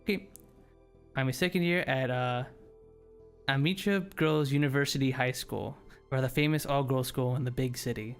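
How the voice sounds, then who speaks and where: A man hosting a casual playthrough, reading a visual novel's narration aloud and sometimes halting over unfamiliar words. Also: mid-range voice, clean tone. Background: background game music.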